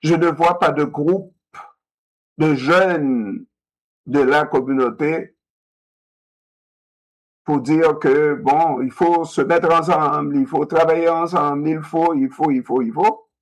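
A man in a discussion, talking in an animated way, repeating himself and asking rhetorical questions. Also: fairly high pitch, slow pace, long pauses, poor audio.

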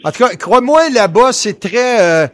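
A man's voice: sits high, lively intonation, measured pace. A man with a Quebec French accent hosting a radio show in casual, playful, chatty banter.

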